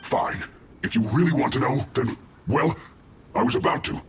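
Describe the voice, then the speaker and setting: An actor playing a bullied, indignant soldier in a video game, pleading in an exasperated, theatrical way. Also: male voice, medium pitch, moderate pace, slight background noise, lightly acted. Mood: defensive, pleading.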